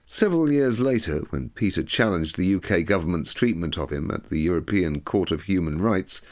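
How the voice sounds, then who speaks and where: Low guy reading a serious audiobook, measured n clearly worded, expressive. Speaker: audiobook narrator. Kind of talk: scripted documentary narration.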